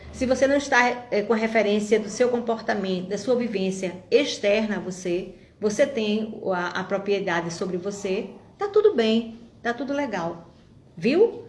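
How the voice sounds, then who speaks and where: A woman's voice: medium pitch, echoey room, a little hiss or hum. Encouraging woman in her fifties. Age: 50s. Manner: warm, encouraging, reflective, addresses viewers directly. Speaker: vlogger. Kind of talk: motivational monologue to viewers.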